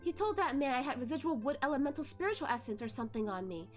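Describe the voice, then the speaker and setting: Woman voicing a high-pitched, vengeful androgynous male ghost. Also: very animated, quick pace, soft background music. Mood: bitter, scornful. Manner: hateful, mocking, dramatic. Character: bitter, vengeful androgynous male ghost, a former brothel courtesan.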